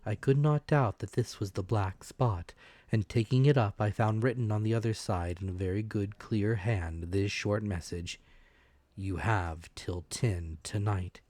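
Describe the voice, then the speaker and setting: A man giving a dramatic, scripted audiobook narration of an adventure story, measured and expressive. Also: on the low side, no room sound.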